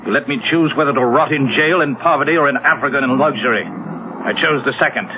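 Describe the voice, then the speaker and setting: A man acting a cynical, disgraced gentleman rogue with a mocking, theatrical manner, in a 1970s radio drama. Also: medium pitch, fast talker, close to an everyday voice. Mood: resigned, dry. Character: cynical disgraced gentleman slave trader, sardonic ladies' man. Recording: muffled, very noisy old radio recording.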